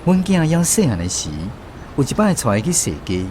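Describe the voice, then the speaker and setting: A man's voice: fairly high pitch, sing-song, a little fast, darkish tone, slight background noise. A man with a Taiwanese accent narrating a scripted first-person story for radio. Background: instrumental music.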